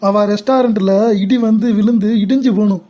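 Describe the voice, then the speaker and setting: A woman's voice: mid-range voice, steady tone, normal speed, dark tone, close to an everyday voice. A woman voicing an envious, evasive middle-aged husband in a cartoon parody, grumbling and whining. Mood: bitter.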